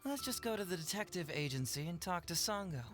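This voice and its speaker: A man's voice: high-pitched, lively intonation, a little fast, over music. An actor playing a sly, easygoing young male detective in a video game, glib and teasing in conversation. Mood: mock offended.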